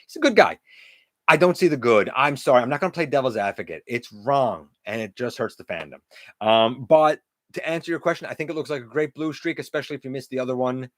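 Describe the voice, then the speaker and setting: A middle-aged man with a loud, carrying voice hosts a casual livestream, chatting and answering viewers' comments. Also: mid-range voice, expressive, fast talker, dry recording, studio quality. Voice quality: loud voice that carries. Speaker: livestream host; teacher. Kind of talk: casual live-stream chat with viewers.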